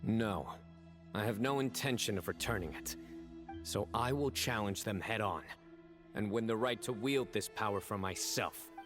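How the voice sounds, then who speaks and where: An actor playing a stern, reserved young desert warrior in a video game, speaking in a measured way. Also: male voice, fairly low pitch, music in the background, close to an everyday voice. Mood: resolute. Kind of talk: game voice acting.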